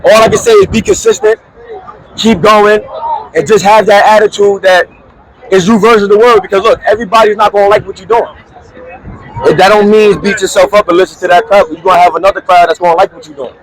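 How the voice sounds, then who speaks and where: A man's voice: high voice, fairly even intonation, brisk, low-quality recording. A man in his twenties, a calisthenics athlete, talking earnestly and casually about motivation in a street interview. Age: 20s. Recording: outdoor crowd chatter.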